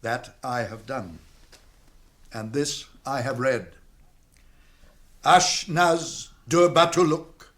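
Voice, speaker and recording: An actor with a British accent playing a wise, commanding old wizard in a radio fantasy drama, speaking formally and deliberately. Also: male voice, on the high side, a bit bright, full character voice. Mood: ominous, incantatory.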